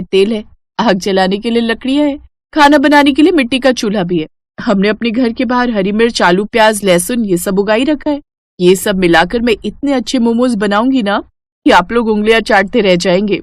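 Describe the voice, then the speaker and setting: Woman voicing a gentle, sensible young village wife. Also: mid-range voice, moderate pace, no room sound, natural voice. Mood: confident.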